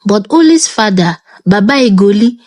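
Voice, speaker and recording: A woman with a Nigerian accent narrating a scripted folktale in a dramatic, expressive manner. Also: medium pitch, moderate pace, no echo, studio quality. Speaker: storyteller.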